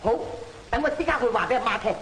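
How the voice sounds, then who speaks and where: An actor playing a playful, talkative young husband and dutiful son in an old Cantonese opera film, with a teasing, theatrical delivery. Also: male voice, very high voice, racing, over loud music. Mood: eager, delighted. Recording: noisy old film soundtrack, reverberant.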